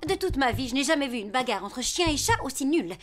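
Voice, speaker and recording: A woman's voice: high-pitched, expressive, quick pace, over music. A woman playing a blunt, self-centred pet cat in a French cartoon, with a disdainful, superior delivery. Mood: scornful, instructing. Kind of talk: French animated cartoon dub.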